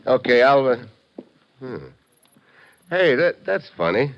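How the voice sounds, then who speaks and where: An actor playing a tough, wry American secret agent, hard-boiled and slangy, in 1950s radio drama style. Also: male voice, medium pitch, very animated, slow, lo-fi sound. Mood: alert, curious.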